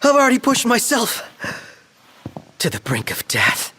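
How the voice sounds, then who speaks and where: A man's voice: very high-pitched, a little slow, very noisy, heavily acted. An actor playing a jokey, boyish young man who complains and tires easily in a video game. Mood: strained, whiny. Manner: light, expressive, complaining.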